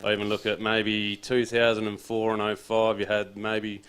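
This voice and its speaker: A man's voice: fairly low pitch, normal speed, clear, resonant tone. A man with an Australian accent, an assistant footy coach chatting casually and rambling a little in an interview. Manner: relaxed, rambling, says he has a loud voice.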